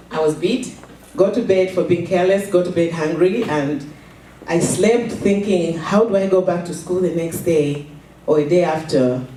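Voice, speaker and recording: A middle-aged woman from Zambia, slowly telling a personal story at a fundraiser, with halting pauses and repeated words. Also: lowish voice, warm tone, slight background noise. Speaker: charity founder giving a speech. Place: event hall. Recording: echoey room, from a distance.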